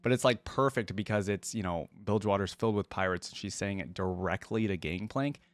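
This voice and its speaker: A man hosting a reaction video, giving casual, excitable commentary in slangy language and addressing his viewers directly on a close mic. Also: on the low side, fairly quick, dry recording.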